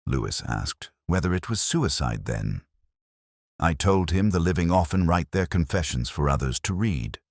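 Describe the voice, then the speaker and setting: A man playing a brooding, world-weary police inspector who narrates his case in a measured, sombre, wry literary style. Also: deep voice, expressive, no echo, studio quality. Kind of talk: literary first-person detective drama narration.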